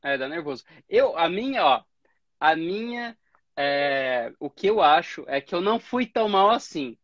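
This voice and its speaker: A man's voice: fairly high pitch, animated, normal speed, a bit bright, no echo, lo-fi sound. A man in his thirties from Brazil, hosting a casual interview over a video call and telling stories with a laugh. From Brazil, Campinas. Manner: chatty, storytelling, laughs easily. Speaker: host. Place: small room at home. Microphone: video call webcam.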